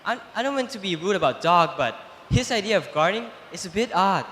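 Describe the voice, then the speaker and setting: A man voicing a smooth-talking male stranger who wheedles and confides, in an echoey hall. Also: high-pitched, expressive, quick pace, fairly bright tone, slight background noise. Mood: wheedling.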